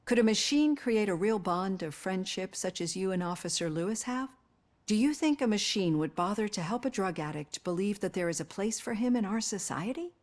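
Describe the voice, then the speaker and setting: An actress playing a composed, caring female psychologist in a video game, speaking in a measured, earnest, articulate way. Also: mid-range voice, lively intonation, darkish tone, close to an everyday voice. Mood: earnest, reassuring. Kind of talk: acted video game dialogue.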